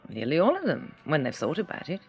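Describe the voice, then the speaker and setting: Young woman, radio drama, frank and practical, expressive pitch, faint music behind. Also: fast talker, creaky, full character voice. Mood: relaxed, thoughtful.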